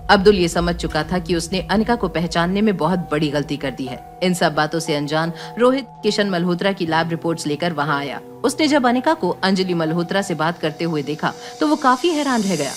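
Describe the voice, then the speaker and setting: A woman with a fairly low voice narrates a scripted drama as storyteller. Faint music runs underneath her reading. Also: animated, brisk, few pauses.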